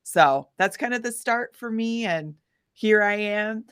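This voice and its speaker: A woman musician telling her story casually in an interview, with an animated, informal manner. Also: mid-range voice, measured pace, clear, resonant tone, dry recording, clean studio sound. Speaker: guest musician, singer-songwriter.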